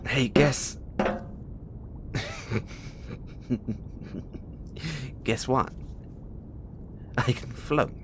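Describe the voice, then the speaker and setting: A man playing a cocky, playful, imprisoned experiment who calls himself an emperor, with halting, teasing, flirtatious delivery in an audio roleplay. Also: mid-range voice, fairly bright tone, a little hiss or hum. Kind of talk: audio roleplay acting addressed to the listener.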